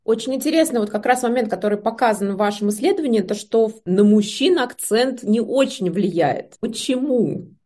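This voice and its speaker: A woman interviewer asking questions in a measured, composed way. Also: fairly high pitch, animated, warm tone.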